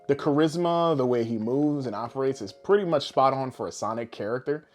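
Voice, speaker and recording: A man co-hosting a podcast, chatting casually and bluntly, with animated asides as he tells things. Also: medium pitch, brisk. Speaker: podcast co-host. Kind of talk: casual podcast chat about films.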